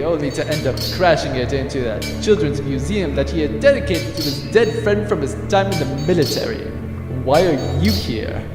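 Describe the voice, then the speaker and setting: A man's voice: on the high side, close to an everyday voice. A man playing a haughty, scheming space major in a comedic sci-fi audio drama, pompous and sarcastic. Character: haughty male space-major rival, grudging, scheming rogue. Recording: echoey reverberant room, music underneath.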